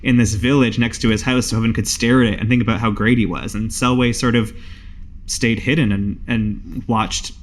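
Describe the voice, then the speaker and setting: A man's voice: lowish voice, fairly even intonation, moderate pace, natural voice. A man playing a shy, lonely young siren wizard in a tabletop game, talking in a casual, hesitant way. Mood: reflective.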